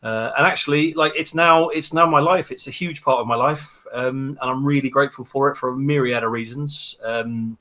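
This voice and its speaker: A man's voice: mid-range voice, steady tone, moderate pace, few pauses, bright, forceful tone. A man with a South London accent, a guest talking about home mining in a chatty, upbeat, self-mocking way. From Texas, raised in London from age eleven. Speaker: guest, home bitcoin miner and hardware maker. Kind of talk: casual podcast chat.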